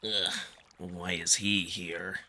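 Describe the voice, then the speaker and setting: A man's voice: deep voice, little pitch movement, takes it slowly, pushed and bright, voice pushed down, exaggerated voice. A man voicing a haughty, irritable alien wolf prince in a visual novel, curt and dismissive. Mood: irritated, dismissive.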